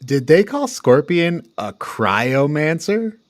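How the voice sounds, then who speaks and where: A man's voice: fairly high pitch, sing-song, takes it slowly. A man co-hosting a movie review, recapping scenes in a loose, hesitant, conversational way. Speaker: co-host of a movie review show. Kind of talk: casual chat, recapping film scenes.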